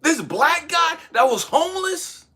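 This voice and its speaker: A man giving a blunt, profane motivational talk, shouting one moment and dropping to hushed asides the next. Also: extremely high pitch, animated, a little slow. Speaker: motivational speaker. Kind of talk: impassioned motivational monologue.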